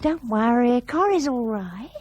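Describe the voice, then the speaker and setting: An actress playing a sardonic, exasperated grown daughter in a radio drama, answering tersely. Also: female voice, a little high, lively intonation, a little slow, noisy background. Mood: terse, defensive. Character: curt, exasperated grown daughter and pilot's wife. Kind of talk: BBC radio drama acting.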